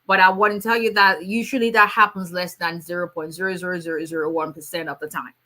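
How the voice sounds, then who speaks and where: Woman immigration attorney, bright voice, casual live Q&A. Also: lowish voice, steady tone, quick pace. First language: Yoruba. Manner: conversational, many fillers, reads out viewer questions. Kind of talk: live Q&A stream.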